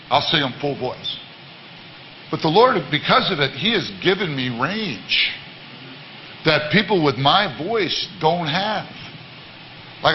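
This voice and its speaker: A man with a baritone voice giving an earnest, repetitive sermon-style talk to a church congregation. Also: muffled recording, a little hiss or hum. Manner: earnest, repetitive, many restarts. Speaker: worship leader. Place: church service.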